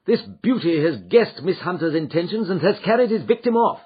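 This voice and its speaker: A shrewd detective , voiced by a male reader, gives brisk, commanding orders in a raised, lively voice. His tone is husky, and the recording is dull and lo-fi. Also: high voice, pitched above the performer's own voice, exaggerated voice. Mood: urgent.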